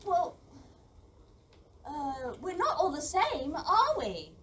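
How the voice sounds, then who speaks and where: Woman as earnest, high-voiced young boy. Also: wildly swooping pitch, slow pace, fairly bright tone, full character voice. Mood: pleading. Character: earnest, pleading young farm boy who writes poetry. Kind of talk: community audio play acting. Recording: distant mic with faint background noise.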